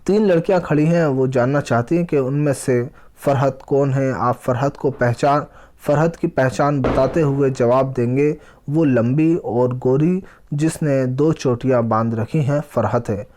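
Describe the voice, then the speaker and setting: A man teaching a children's language lesson, reading aloud and explaining, deliberately pausing and repeating words and phrases. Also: medium pitch, dark tone.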